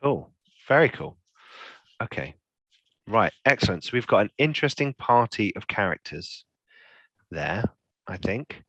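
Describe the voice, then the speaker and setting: A man running a tabletop game as game master, encouraging and clearing his throat often. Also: fairly low pitch, very animated, unhurried, long pauses, bright, forceful tone, no room sound, muffled recording. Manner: affirming, frequent throat clearing.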